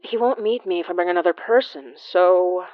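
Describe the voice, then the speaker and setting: A woman's voice: lowish voice, normal speed, dry recording, highs cut off, natural voice. A woman acting a worried, stubborn young ghost-hunter. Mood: resigned, stubborn. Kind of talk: naturalistic audio drama acting.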